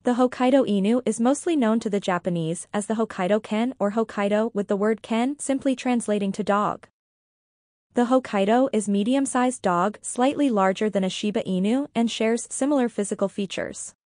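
A woman reading a scripted educational podcast narration in a measured, formal manner. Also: a little high, a little mellow, no room sound, studio quality.

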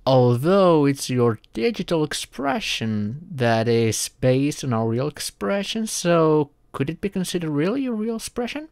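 A man's voice: on the high side, big pitch swings, unhurried, few pauses, darkish tone, smooth, dry recording, studio quality. A man in a casual roleplay chat, speaking in a playful, teasing manner.

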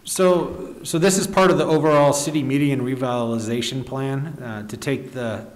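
A male city official explains budget items in a measured, formal way. His voice is mid-pitched and comes through a face mask into a desk microphone, with clear room echo. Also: fairly even intonation. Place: indoor council chamber. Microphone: desk microphone, speaking through a face mask. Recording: echoey meeting room.

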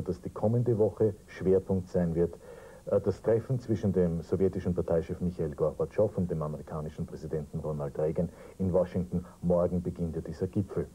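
Man, middle-aged, deep voice, dark husky tone, brisk news host. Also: poor audio. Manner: brisk, conversational questioning. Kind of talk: studio interview. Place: TV studio.

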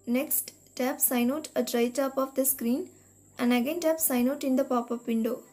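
A woman reading a scripted step-by-step tech tutorial in a measured, instructional manner. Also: high voice, fairly even intonation, darkish tone. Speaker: tutorial narrator. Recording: voice-over with music behind. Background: background music.

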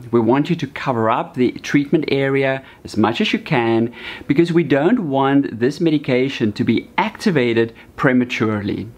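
Calm Canadian man explaining treatment. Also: medium pitch. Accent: Canadian English. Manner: calm, explanatory, with some fillers. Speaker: doctor. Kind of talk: patient education explainer. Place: bright clinic room with a window. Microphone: seated, medium shot to camera.

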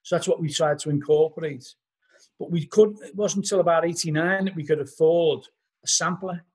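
Middle-aged scouse guy on a video call from his living room, husky voice, a bit higher than most men, rambles through stories w lots of "you know". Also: fairly even intonation, a little fast, darkish tone. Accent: Liverpool. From Liverpool, England. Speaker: podcast guest, band vocalist. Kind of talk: casual storytelling interview. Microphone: laptop webcam on a video call.

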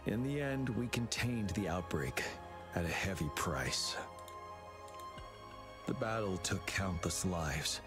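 An actor playing a stern young male commander in a game, speaking in a calm, measured way. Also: sits low. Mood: steady. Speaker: game character. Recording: game audio with loud music.

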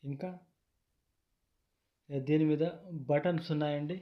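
A man gives an informal product explainer in a deliberate, repetitive way, phrase by phrase. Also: on the high side, steady tone. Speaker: YouTube product reviewer. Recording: dull lo-fi sound.

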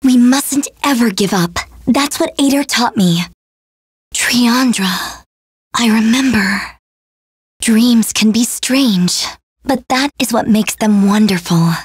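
A woman playing a sweet, hopeful young dream fairy in a video game, with a soft, breathy voice. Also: fairly high pitch, slow pace, dry recording, studio quality, exaggerated voice. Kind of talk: video game character voice acting.

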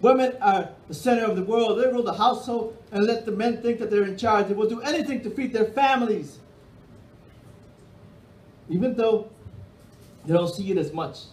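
A middle-aged man from Peru performing spoken-word poetry on a dark bar stage into a stand microphone, with a rhythmic, emphatic delivery. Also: high voice, moderate pace, long pauses, slight background noise. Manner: rhythmic, emphatic delivery with sweeping hand gestures. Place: dark stage of a bar and music hall, indoors. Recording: roomy hall sound.

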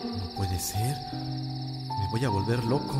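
A man's voice: lowish voice, slow pace, loud music behind the voice. An actor playing a bewildered, grieving widowed father in an audio drama, with a Mexican accent and a plaintive, hesitant delivery.

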